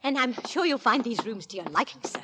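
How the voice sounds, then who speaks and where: A woman's voice: on the high side, expressive, brisk, background noise, lightly acted. An actress playing an anxious, scrimping working-class landlady, fussy and colloquial, in 1940s radio drama style. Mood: polite, deferential. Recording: vintage 1940s radio broadcast recording.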